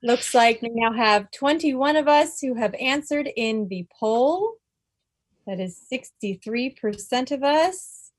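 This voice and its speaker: A woman's voice: on the high side, animated, slow pace, dry recording. A woman hosting an informal quiz for a group, making announcements in a playful, mock-formal manner. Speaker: quiz host at a club meeting.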